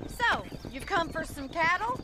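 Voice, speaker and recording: A woman's voice: very high voice, measured pace, background noise, full character voice. A woman playing a spirited, teasing rancher's daughter in a video game. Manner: teasing, animated.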